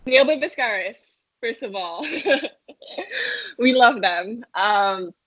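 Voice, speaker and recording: A woman's voice: on the high side, very animated, drawn-out words, fairly bright tone, slightly rough, no echo. A young woman from Malaysia, a metal band singer, answering interview questions in a chatty way full of fillers and restarts. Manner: chatty, many fillers and restarts, laughs often. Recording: dull lo-fi sound, dry.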